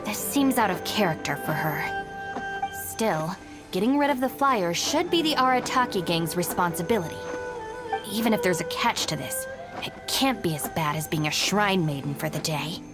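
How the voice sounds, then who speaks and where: An actress playing a cool, dutiful young gang deputy in a video game, matter-of-fact, guarded and a little weary. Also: female voice, on the low side, expressive, moderate pace, music in the background, natural voice. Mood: serious, resigned.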